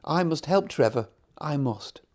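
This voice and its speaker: A man's voice: fairly high pitch, expressive, unhurried, darkish tone. A man voicing a kind, determined old steam engine in a children's storybook, earnest and emphatic. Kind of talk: children's storybook character reading.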